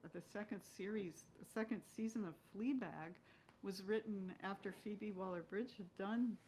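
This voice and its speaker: A woman's voice: medium pitch, a little mellow, rough recording. A woman speaking as an actress guest in a casual interview, reflecting analytically as she talks. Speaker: guest, stage and screen actress. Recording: clear background noise.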